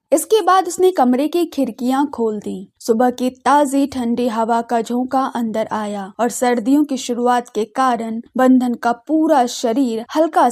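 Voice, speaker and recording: A woman's voice: fairly high pitch, darkish tone. A woman narrating an audio drama in a scripted storytelling style.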